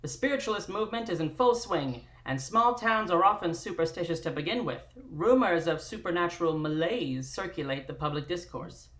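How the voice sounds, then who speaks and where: A woman's voice: fairly low pitch, expressive, brisk, hardly any pauses, fairly bright tone. A woman hosting a live-streamed table read, introducing it and narrating from a script.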